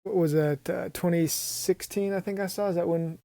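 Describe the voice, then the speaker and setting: Mellow-voiced man hosting a podcast. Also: high-pitched, fairly even intonation, moderate pace, vocal fry, no room sound, clean studio sound. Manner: hesitant questions, short acknowledgments. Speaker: podcast host. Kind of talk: casual interview talk.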